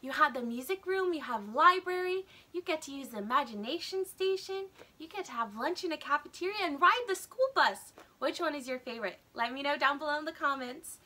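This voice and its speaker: A woman's voice: high-pitched, animated, brisk, few pauses, pushed and bright. A young woman hosting a children's read-aloud story time, speaking expressively to small children. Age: young adult.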